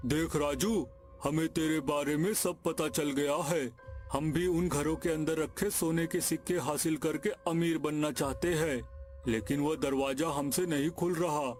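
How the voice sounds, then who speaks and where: A man playing a greedy, excitable village schemer in a cartoon story, speaking in exclamations. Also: fairly high pitch, unhurried, close to an everyday voice. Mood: threatening. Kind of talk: Hindi cartoon story acting. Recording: music behind the voice.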